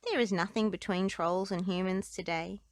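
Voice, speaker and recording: A woman's voice: medium pitch, steady tone, moderate pace, dry recording, studio quality. A woman reading children's storybooks and nursery rhymes aloud as a narrator. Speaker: children's book reader.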